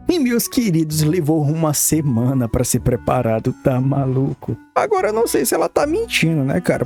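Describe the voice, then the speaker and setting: A man's voice: fairly high pitch, dark tone. A man with a Brazilian accent narrating a story recap in an informal, slangy, teasing way with joking asides. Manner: colloquial, teasing, irreverent. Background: background music.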